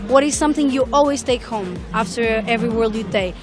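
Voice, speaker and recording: Woman street interviewer, friendly and encouraging, slightly high voice, music underneath. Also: fairly quick.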